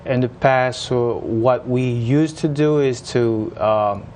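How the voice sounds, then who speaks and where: A man's voice: mid-range voice, slow, a little mellow. Haitian accent guy, engineer guest on a local show, lots of uh's, faint hiss. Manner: hesitant, many uh fillers and restarts. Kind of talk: casual interview chat.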